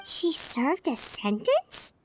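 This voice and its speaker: A woman voicing a tiny, childlike, curious fairy companion in a game, with a squeaky, excitable way of talking. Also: slow, a little hiss or hum, heavily acted, poor audio. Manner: squeaky, third-person chatter. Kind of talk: animated game dialogue.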